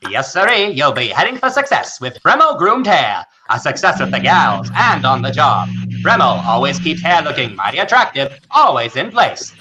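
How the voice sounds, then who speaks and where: A man's voice: a little high, very animated, normal speed, noisy background. A man playing a peppy old-time radio announcer, selling with exaggerated 1940s salesman punch. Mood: upbeat selling. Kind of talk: 1940s radio advertisement acting.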